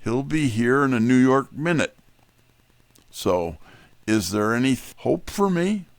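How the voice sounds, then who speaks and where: A man's voice: medium pitch, expressive, a little slow, gravelly, dry recording. A man playing a wry, candid detective's sidekick in first-person scripted narration. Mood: earnest.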